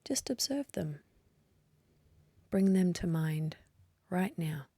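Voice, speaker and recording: A woman leading a guided meditation in a soft, breathy voice, gently and unhurriedly, with long pauses. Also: lowish voice, lively intonation, dry recording. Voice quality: soft, breathy, partly whispery. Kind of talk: guided meditation, slow calm instruction.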